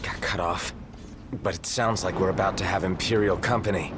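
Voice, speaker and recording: An actor playing a moody, irritable young prince in a video game, terse and exasperated. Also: male voice, a little fast, noisy background, lightly acted. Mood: weary, irritable. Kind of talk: dramatic video game voice acting. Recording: loud rumbling effects under the voice.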